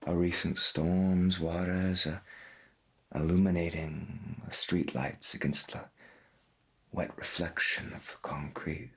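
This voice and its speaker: A man acts out a veteran late-night radio host of the strange in a soft-spoken 1990s ASMR roleplay. His very low voice is gravelly and breathy, and he speaks very slowly. He muses in florid, halting phrases with calm wonder. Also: heavily acted. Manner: musing, florid, halting with long pauses.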